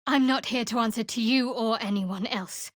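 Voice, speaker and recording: An actress playing a guarded, devout young cleric of a dark goddess in a fantasy video game, with a dry, wry, measured delivery. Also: female voice, fairly high pitch, dry recording, lightly acted. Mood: matter-of-fact, guarded.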